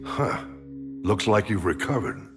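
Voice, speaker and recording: An actor playing a gruff older military admiral in a video game, speaking in a measured, grave, authoritative way. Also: male voice, low-pitched, huge pitch swings, over loud music, heavily acted. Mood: stern concern. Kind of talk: video game dramatic acting.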